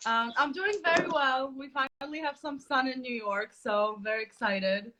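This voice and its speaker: A woman's voice: sits high, moderate pace, noisy background. A woman hosting a casual live video interview from New York over a video call, chatty and laughing often, with many fillers. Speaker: podcast host.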